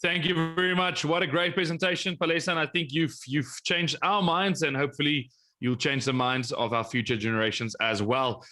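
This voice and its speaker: Bright-voiced male event host. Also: a little high, fairly even intonation, brisk. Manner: upbeat, thanking and introducing speakers. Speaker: competition host. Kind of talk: hosting a presentation event.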